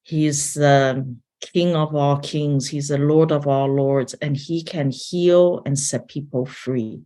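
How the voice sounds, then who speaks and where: Slow, low-voiced woman giving testimony. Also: fairly even intonation, mellow. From born in Taiwan, grew up in several countries. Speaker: missions organisation leader, interview guest. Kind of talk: personal testimony in an interview.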